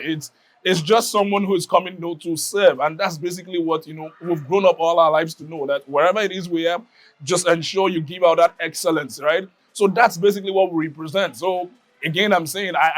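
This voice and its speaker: A man from Nigeria giving a reflective personal testimony, often pausing to search for words. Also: high-pitched, dry recording. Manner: reflective, many fillers and restarts. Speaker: interviewee.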